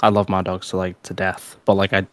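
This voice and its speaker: A man's voice: sits low, monotone, a little fast, smooth, no echo. A man chatting casually as a participant in a livestream. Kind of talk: casual chat.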